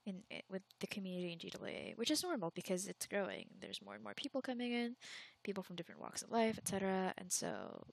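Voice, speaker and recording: A woman's voice: mid-range voice, huge pitch swings, fast, gravelly, no room sound. A young woman from the Philippines chatting candidly in a casual Q&A, rambling with frequent fillers and restarts.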